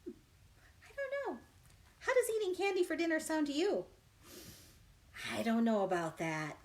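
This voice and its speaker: A woman children's librarian reading a picture book aloud in an exaggerated, animated way and talking directly to young listeners. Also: sits high, moderate pace. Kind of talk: children's read-aloud storytime. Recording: noisy livestream recording.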